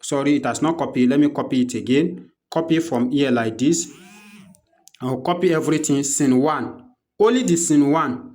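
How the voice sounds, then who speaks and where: A man with a Nigerian accent presenting an unscripted software walkthrough, explaining step by step and repeating his instructions as he goes. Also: medium pitch, moderate pace. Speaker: tutorial presenter.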